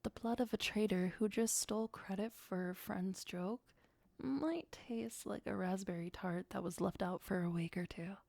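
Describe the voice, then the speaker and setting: A woman playing a possessive, doting vampire girlfriend in an ASMR roleplay, speaking softly and sweetly with breathy, often whispered delivery. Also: medium pitch, moderate pace, no echo. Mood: musing, fond.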